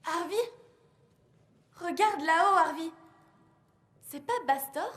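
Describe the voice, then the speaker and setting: High, curious cartoon child. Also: female voice, takes it slowly, a bit bright, exaggerated voice. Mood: urging. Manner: questioning, earnest. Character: curious, sensible, encouraging young child sidekick. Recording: echoing sound with music behind.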